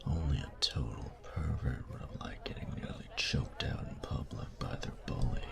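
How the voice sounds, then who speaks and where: Man acting a very deep, gravelly young male bully. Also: expressive, heavily acted. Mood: smug teasing. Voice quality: breathy, gravelly half-whisper. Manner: slow, teasing, menacing delivery with drawn-out pauses. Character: cocky, taunting, domineering young male bully. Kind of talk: intimate audio roleplay, spoken to the listener.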